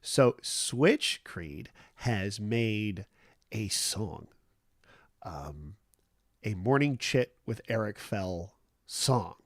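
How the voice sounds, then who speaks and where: A man's voice: fairly low pitch, lively intonation, very slow, no echo, studio quality. A man hosting a casual morning livestream chat, stuffed up and nasal from a cold, rambling easily with lots of fillers and restarts. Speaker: livestream host.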